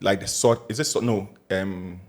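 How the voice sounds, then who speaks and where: A man's voice: sits low, fairly even intonation, moderate pace. A man, co-hosting a podcast in playful, rambling casual chat. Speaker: podcast co-host.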